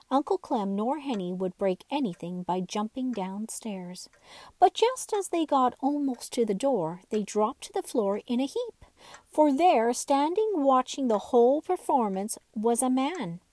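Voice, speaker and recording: High-voiced woman reading a children's story. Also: mellow, no echo. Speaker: storybook reader. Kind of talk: scripted children's story narration.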